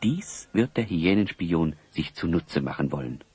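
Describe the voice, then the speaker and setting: A man's voice: deep voice, lively intonation, measured pace, darkish tone, husky. A man narrating a fantasy audio play in a scripted storybook style.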